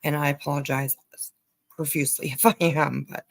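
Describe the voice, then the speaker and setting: A woman giving casual, halting commentary as host of a livestream. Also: low voice, steady tone, vocal fry. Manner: halting, hesitant delivery with trailing asides. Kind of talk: casual livestream commentary over played clips.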